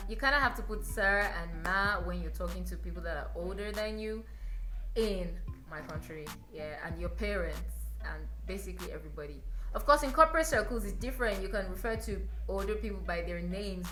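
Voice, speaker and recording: A woman's voice: mid-range voice, fairly bright tone, echoey room. A young woman from Nigeria, chatting casually to camera in her vlog and repeating words for emphasis. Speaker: vlogger.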